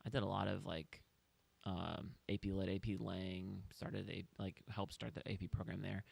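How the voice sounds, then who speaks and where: A man's voice: low voice, little pitch movement, moderate pace, gravelly, breathy edge, dry recording. A man from Kansas City, a podcast guest, telling his story in a chatty, wry way with self-deprecating jokes. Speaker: guest, real estate agent. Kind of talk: casual podcast chat.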